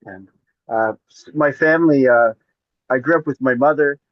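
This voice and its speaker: A man from northern Maine answers interview questions in a measured, hesitant way, pausing often. His voice sits at a middle pitch. It comes through dull, dry video-call audio. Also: no room sound. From northern Maine, United States. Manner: measured, hesitant, with many pauses. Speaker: poet guest.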